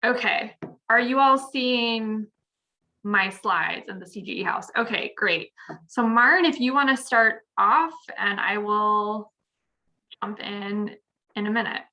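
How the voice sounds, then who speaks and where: Woman, thirties, staff presenter on a video call, bright crisp tone, informal. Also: mid-range voice, takes it slowly. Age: 30s.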